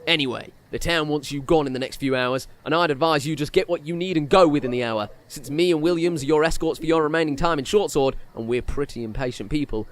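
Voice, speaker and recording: An actor playing a curt, impatient detective in a comedic fantasy audio drama, matter-of-fact and dismissive. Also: male voice, medium pitch, close to an everyday voice. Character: brusque, impatient male lawman detective, dry and dismissive.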